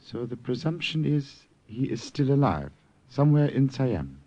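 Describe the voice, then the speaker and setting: A dull, lo-fi old radio recording carries a courtly Siamese regent. His voice is very mellow and husky, and he speaks in formal, measured, enigmatic phrases. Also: mid-range voice, big pitch swings. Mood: gracious, composed. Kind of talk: 1940s-50s radio drama acting.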